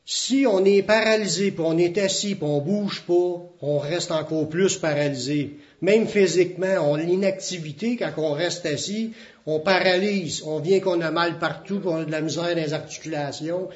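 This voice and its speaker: A man's voice: on the high side, normal speed. A man with a Québécois French accent, preaching a sermon as pastor in an earnest, plain-spoken way. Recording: dull, muffled lo-fi recording.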